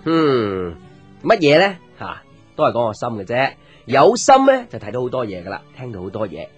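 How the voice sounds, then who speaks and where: A man's voice: medium pitch, normal speed, slightly rough. An actor with a Hong Kong Cantonese accent playing a playful, teasing young man, a caring friend, in a light conversational radio drama. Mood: teasing, curious. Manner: teasing, joking, animated with exclamations. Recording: music under the voice.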